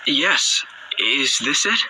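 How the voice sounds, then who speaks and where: A man's voice: mid-range voice, lively intonation, slow, noisy background. A man playing a plain-spoken young hero in a video game, giving short, casual, matter-of-fact replies. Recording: loud music over the voice. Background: loud game music.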